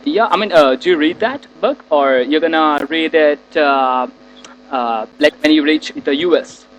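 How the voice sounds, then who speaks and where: Indian-raised man teacher, fairly high voice, quick, clarifies questions, faint noise. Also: fairly bright tone, poor audio. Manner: quick, rephrasing others' questions. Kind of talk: casual class moderation.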